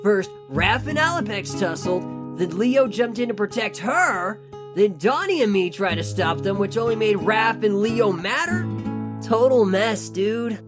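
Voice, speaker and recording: A woman's voice: mid-range voice, lively intonation, a little slow, over music, lightly acted. A woman voicing a friendly, slangy teenage male mutant turtle in a comic dub, talking like a surfer dude as he narrates a letter. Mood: exasperated, recounting.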